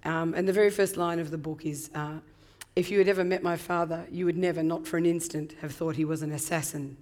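A woman in her fifties with a husky voice from laryngitis, reflecting thoughtfully as an interview guest with many ums. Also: deep voice, steady tone, a little fast, a little mellow. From Australia. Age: 50s. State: hoarse from laryngitis. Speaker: guest author. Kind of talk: conversational interview.

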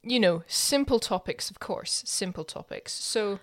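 A woman's voice: mid-range voice, lively intonation, measured pace. A woman hosting a podcast in a chatty, conversational way, laughing easily. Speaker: podcast host.

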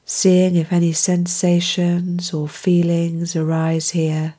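Very slow, mellow woman guiding meditation. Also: fairly low pitch. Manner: calm, soothing, unhurried with gentle phrasing. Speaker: meditation guide. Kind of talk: guided mindfulness meditation.

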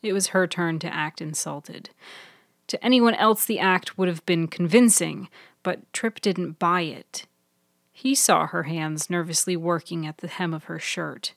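A woman's voice: lowish voice, unhurried, gravelly, dry recording, studio quality. A woman reading a scripted fiction podcast as its narrator and host, with music behind her. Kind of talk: scripted storytelling narration and read credits.

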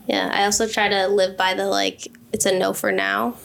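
A woman's voice: mid-range voice, level tone, moderate pace, gravelly, fairly bright tone, a little hiss or hum. A young adult woman who sounds youthful, a guest chatting casually in an interview and leaning on "like" a lot. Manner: chatty, lots of "like" fillers. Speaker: guest, business owner.

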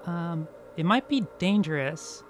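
A man's voice: on the high side, expressive, measured pace, a little hiss or hum. A man chatting casually as a player in a tabletop role-playing game.

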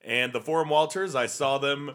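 A man's voice: medium pitch, moderate pace, fairly bright tone. A man co-hosting a music podcast, talking in a rambling, hesitant way with many fillers and restarts. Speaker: podcast co-host. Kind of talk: casual chat about punk music. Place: Salt Lake City, USA.

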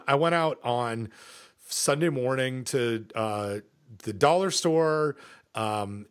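A middle-aged man co-hosting a podcast, chatting casually with many fillers, pauses and restarts. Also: medium pitch. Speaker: podcast co-host.

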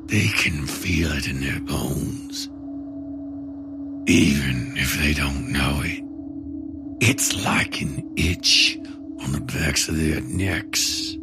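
A man voicing a terse, menacing undead demon rider in a horror audio story, slow and gravelly, over music. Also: extremely low pitch, voice pushed down, heavily acted. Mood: cold menace. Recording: loud music under the voice.

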